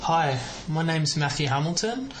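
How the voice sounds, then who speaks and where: A young Australian man leaving an earnest, polite and halting listener message. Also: fairly high pitch, soft background music. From Melbourne, Australia. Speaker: listener calling in. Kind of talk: prepared voicemail message. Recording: clear steady background noise.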